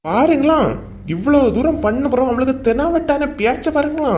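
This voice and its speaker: A woman playing an angry, domineering young husband in an animated comedy, scolding and accusing in Kanyakumari Tamil. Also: high-pitched, normal speed, slight background noise, close to an everyday voice. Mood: scolding, exasperated. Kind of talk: animated comedy drama dialogue.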